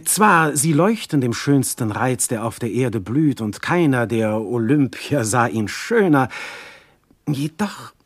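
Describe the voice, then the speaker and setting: An actor playing an ardent, jealous god posing as a husband, declaiming classical verse in a radio drama with a husky voice. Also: male voice, on the low side, dry recording. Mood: tender, secretive. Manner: declamatory, lofty, with dramatic hushed passages.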